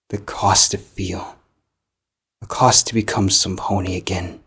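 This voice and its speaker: A man narrating fan fiction in the first person as an anguished, guilt-ridden living book, measured, halting and melodramatic. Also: deep voice, a bit bright, husky, full character voice. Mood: anguished, hollow.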